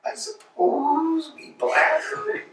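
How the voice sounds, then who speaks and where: A man plays a worn-out tramp who grumbles and sulks, his voice pitched high for a man. It sounds roomy and distant, as if caught from across an echoing space. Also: takes it slowly, fairly bright tone, full character voice. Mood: weary. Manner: tired, dry and grumbling. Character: Estragon, a weary, grumbling male tramp. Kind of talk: staged play reading. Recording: echoey room from far off.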